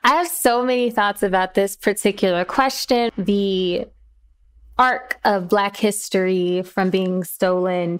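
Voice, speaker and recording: Woman panelist, crisp resonant voice, reflective, recorded very dry. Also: mid-range voice, no room sound. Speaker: playwright and panelist. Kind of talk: reflective discussion.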